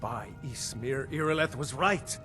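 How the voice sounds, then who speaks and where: An actor playing a stately, authoritative ruler in a fantasy game, speaking in a measured way. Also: male voice, mid-range voice, bright, forceful tone, soft background music. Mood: urgent.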